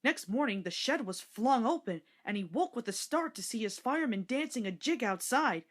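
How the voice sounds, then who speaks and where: A woman's voice: a little high, animated, normal speed. A woman reading a scripted children's story aloud as narrator.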